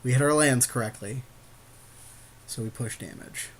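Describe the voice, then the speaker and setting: Male podcast co-host, medium pitch, joking and slangy, loose conversational game commentary. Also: normal speed. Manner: joking, slangy, swears casually. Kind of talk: casual gameplay commentary with a friend.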